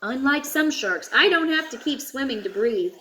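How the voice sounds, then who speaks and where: A woman voicing proud sharks who explain themselves in a children's storybook, in a measured manner. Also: sits high, animated, fairly bright tone, a little hiss or hum.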